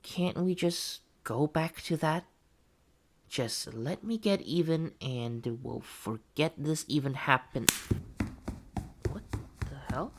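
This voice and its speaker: A woman's voice: low voice, animated, slow, pauses often, slight background noise, exaggerated voice. A woman voicing a betrayed, vengeful test subject in a horror audio roleplay, coaxing and menacing, with hurt close to the surface. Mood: pleading.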